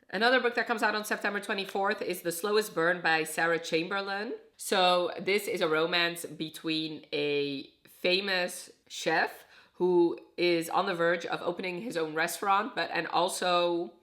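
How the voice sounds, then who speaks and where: A woman's voice: on the low side, fairly bright tone. A young woman from Iraq chatting casually as a book reviewer, summarizing plots with frequent self-corrections. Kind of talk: casual booktube chat.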